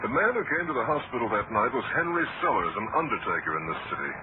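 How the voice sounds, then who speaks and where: A man's voice: medium pitch, sing-song, fast, natural voice. Male actor as radio detective, pointed and authoritative, swinging intonation, narrow-band noisy vintage sound. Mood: explanatory. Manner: pointed, methodical questioning. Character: probing, authoritative male detective running lie-detector tests. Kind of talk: old-time radio drama acting.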